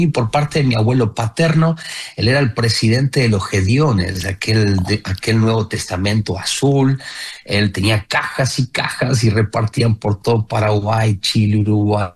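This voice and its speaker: Earnest Argentine man, slightly low voice. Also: husky. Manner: earnest, storytelling. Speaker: radio guest. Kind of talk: casual interview talk.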